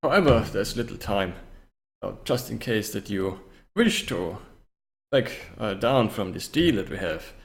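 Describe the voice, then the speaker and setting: Low-voiced shady sorcerer guy, polite but threatening, lots of pauses, a man putting him on. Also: animated. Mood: politely threatening. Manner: formal, halting and politely menacing. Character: scheming male spellcaster demanding payment. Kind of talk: voicing a character in a tabletop game.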